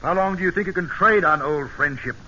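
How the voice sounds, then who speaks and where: An actor playing a suspicious, stern, quick-tempered British army colonel in an old-time radio drama. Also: male voice, fairly high pitch, bright, forceful tone. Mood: angry. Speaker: actor and narrator. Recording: muffled old radio broadcast, faint hiss.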